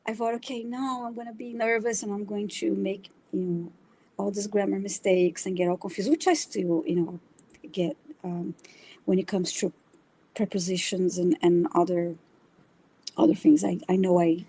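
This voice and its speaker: A woman's voice: medium pitch, fairly even intonation, normal speed, dark tone, slightly rough, rough recording. A middle-aged woman from Brazil with a Brazilian Portuguese accent, chatting casually as a guest and hesitating often with fillers and restarts. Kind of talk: casual interview chat.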